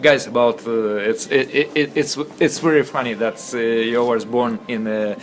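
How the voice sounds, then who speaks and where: A man's voice: medium pitch, steady tone, normal speed. A man interviewing a guest, asking hesitant questions and repeating words as he searches for them. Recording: ambient noise behind.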